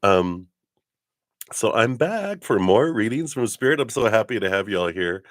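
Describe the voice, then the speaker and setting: A man with a low morning voice hosting a live spiritual reading stream, chatting casually in a giddy, effusive way. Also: big pitch swings, moderate pace, no echo, clean studio sound. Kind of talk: casual livestream chat with meditation guidance.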